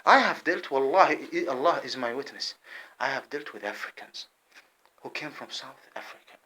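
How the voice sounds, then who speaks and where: A man delivering an impassioned monologue to camera, heated and repetitive, swinging between loud outbursts and low muttering. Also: expressive, normal speed, breathy edge.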